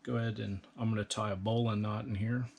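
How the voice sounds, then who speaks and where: A man with a fairly low voice walks through a build one step at a time, calm and matter-of-fact. He is recorded outdoors, with a faint hiss of background noise behind him. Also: quick pace, creaky. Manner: calm, practical, methodical. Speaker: instructor. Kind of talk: step-by-step how-to instruction.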